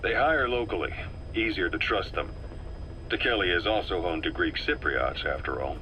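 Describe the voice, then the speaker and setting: An actor playing a worldly, composed military operative in a game, briefing a comrade in a measured, matter-of-fact way. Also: male voice, sits low, expressive, bright, forceful tone, rough recording. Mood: measured, informative. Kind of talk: scripted game dialogue, expository briefing. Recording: faint background noise, cassette tape briefing.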